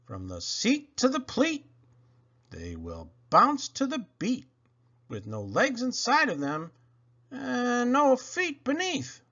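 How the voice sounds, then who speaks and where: A man reading storybook poetry aloud to children in a theatrical, expressive way with dramatic pauses. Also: very high voice, lo-fi sound. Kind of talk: animated storybook read-aloud.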